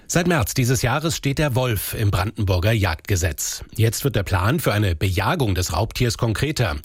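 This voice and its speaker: A man reading the regional radio news in a measured, formal way. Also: on the low side, animated, husky, no echo. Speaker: newsreader.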